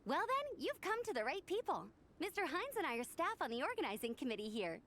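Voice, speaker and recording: Friendly young knightly scout: high, chipper, earnest, game dialogue. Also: female voice, sing-song, a little fast, a bit bright, a little hiss or hum. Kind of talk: video game voice acting.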